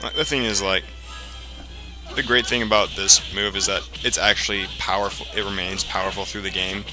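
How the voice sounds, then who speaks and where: A man with a fairly low voice gives casual commentary on a video game. He rambles and explains as he goes, halting and picking up again, with clear game music playing behind him. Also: fairly even intonation. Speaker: let's play commentator.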